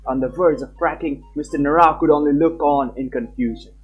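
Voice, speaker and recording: A streamer reading a visual novel aloud live and chatting casually with viewers. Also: male voice, on the high side, slightly rough, dull audio, faint music behind. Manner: animated, chatty, quick laughs.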